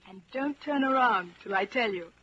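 An actress playing a bold, affectionate young woman and secret lover in a 1940s radio drama, tender and lively. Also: fairly high pitch, normal speed. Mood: tender, intimate. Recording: muffled, noisy 1940s radio recording.

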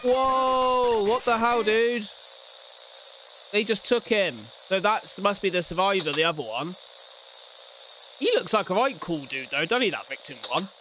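A man's voice: high-pitched, lively intonation, a little slow, bright, forceful tone, a little hiss or hum, low-quality recording. A young man giving casual, slangy reaction commentary with blunt swearing and muttered asides into a close desk mic. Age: young adult. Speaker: reaction video host. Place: indoor room at home. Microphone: close desk condenser mic, headset on.

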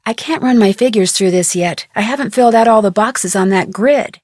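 A plucky teenage girl detective from a video game, played by a woman. She thinks aloud with curiosity and wry humor, in a mid-pitched voice. The recording is very dry and close. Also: brisk, a little mellow, dry recording, lightly acted. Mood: dry, musing. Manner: wry, curious, self-talking. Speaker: voice actor of a video game heroine.

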